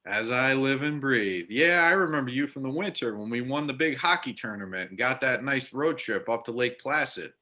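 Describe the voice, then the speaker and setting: A man's voice: medium pitch, animated, moderate pace, few pauses, sharp tone. A man playing a burly, affable biker bartender and war veteran, folksy and blunt, in an amateur readers theater. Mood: hearty, friendly.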